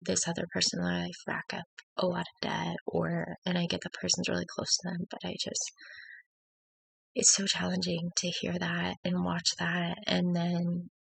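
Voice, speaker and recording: A woman who has just woken up, speaking in a gravelly voice as she talks through a personal struggle at home on her laptop, hesitant and reflective with many fillers and restarts. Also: lowish voice, completely flat delivery, moderate pace, dry recording, low-quality recording. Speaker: faith vlogger. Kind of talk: personal confessional talk to camera.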